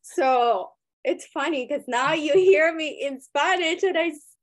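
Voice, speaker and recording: A woman's voice: high voice, measured pace. A young woman with a light Cuban accent, a guest broker talking casually and expressively on a video call from home. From Cuba.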